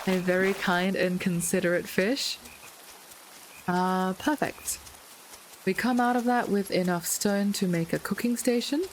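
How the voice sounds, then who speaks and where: A woman with a slightly hoarse voice, chatting casually through her gameplay with light puns and frequent ums. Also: medium pitch, fairly even intonation, unhurried, slight background noise, low-quality recording. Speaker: gaming video creator. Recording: loud game music with rain sounds behind.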